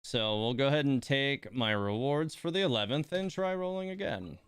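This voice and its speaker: A man's voice: medium pitch, animated, moderate pace, a bit bright, smooth. A man streaming a game, giving casual live commentary with muttered, mildly exasperated asides to himself.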